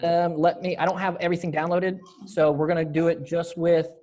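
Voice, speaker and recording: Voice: mid-range voice, flat delivery, a little fast, mellow. Upbeat, encouraging organizer on a video call. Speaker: event organizer running a readers theater rehearsal. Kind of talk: casual group video-call chat.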